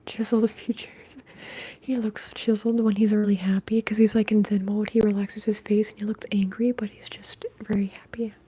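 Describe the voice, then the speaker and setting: A woman speaks in a very breathy, whispery hush with little rise and fall in pitch. She is hosting ASMR and chats casually and softly, as if to viewers on a livestream. The tone stays muted and gentle on the ear. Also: flat delivery. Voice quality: soft-spoken, breathy, whispery. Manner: hushed, unhurried casual talk. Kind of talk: ASMR, chatting with live viewers.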